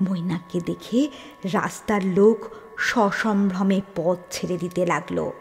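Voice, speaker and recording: A woman narrating a literary story in a dramatic, expressive manner, with deliberate pauses and emphasis. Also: fairly low pitch, vocal fry, slight background noise. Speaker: storyteller reading an audio story. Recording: long hall-like reverb on the voice.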